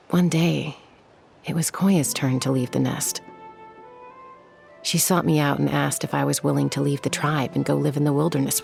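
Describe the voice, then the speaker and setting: Calm young woman fighter, measured storytelling, gravelly edge. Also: low-pitched. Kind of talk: video game voice acting.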